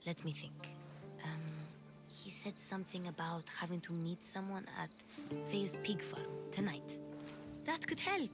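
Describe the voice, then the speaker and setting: A woman's voice: lowish voice, sing-song, measured pace. A woman voicing an eager, earnest little girl who likes to sneak and help, in a video game cutscene. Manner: earnest, childlike. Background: background music.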